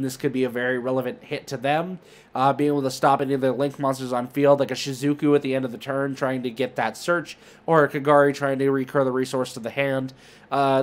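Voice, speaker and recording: A man hosting a trading card market video, chatting informally to viewers with emphasis on key words. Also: medium pitch. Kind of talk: casual commentary to viewers.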